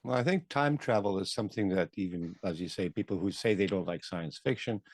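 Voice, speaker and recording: A man's voice: low voice, brisk, darkish tone, no room sound, clean studio sound. A man interviewing someone conversationally, engaged and reflective as he rephrases his thoughts.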